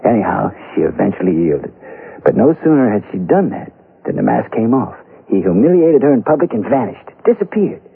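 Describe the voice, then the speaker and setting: A folksy small-town man of the Old West in a vintage radio drama. He speaks earnestly and haltingly, with lively swings of pitch and a rough, husky tone. The recording is dull and muffled. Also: heavily acted. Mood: earnest, reflective. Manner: folksy, hesitant, emphatic storytelling. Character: small-town western man earnestly recounting a family's dark history. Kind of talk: 1970s radio drama acting, western.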